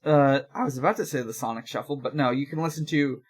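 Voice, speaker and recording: A young man co-hosting a podcast in casual chat, talking in a halting, rambling way and often correcting himself. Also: mid-range voice, husky. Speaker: podcast co-host.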